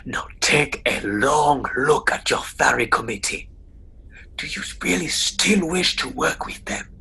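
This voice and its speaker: A man voicing a sly, mocking trickster spirit in a radio play, often in a breathy, gravelly whisper. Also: medium pitch, expressive, bright, forceful tone, exaggerated voice. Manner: slow, taunting, theatrical.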